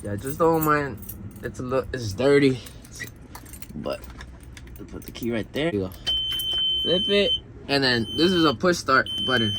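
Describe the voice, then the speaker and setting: A man's voice: medium pitch, lively intonation, moderate pace, long pauses. A young man in a casual trucker vlog, talking in a laid-back, slangy way as he shows his rig. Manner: laid-back, slangy, occasional Spanish asides. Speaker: truck driver vlogger showing his rig. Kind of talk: casual vlog chat while demonstrating. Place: outdoors by a semi truck and inside its cab. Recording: loud truck engine and outdoor noise. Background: loud vehicle and engine noise.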